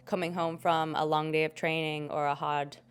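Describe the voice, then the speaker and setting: A young British woman hosting her own podcast in a relaxed, chatty, jokey way, her voice hoarse from a cold. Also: sits low, normal speed. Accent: British English. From Britain. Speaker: podcast host. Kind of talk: casual chat.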